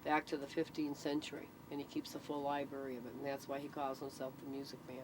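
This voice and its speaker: A woman's voice: completely flat delivery, fast. A middle-aged woman chatting casually, explaining things in an anecdotal storytelling way. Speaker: interviewee, CB club member. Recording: noisy amateur recording with vehicle rumble.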